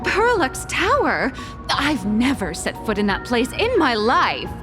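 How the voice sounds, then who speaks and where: A woman's voice: sits high, wildly swooping pitch, unhurried, heavily acted. A woman playing a cheeky, unflappable trickster with a dry wit in a video game, glib and casual. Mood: cool, sly. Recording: music behind the voice.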